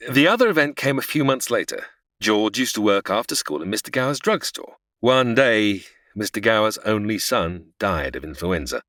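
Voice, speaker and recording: Deep-voiced, exasperated angel boss. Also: female voice, big pitch swings, moderate pace, fairly bright tone, no echo, clean studio sound, exaggerated voice. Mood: patient narrating, stern. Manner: formal, impatient, sighing. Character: stern, exasperated superintendent of angels, male. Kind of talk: comedy audio drama.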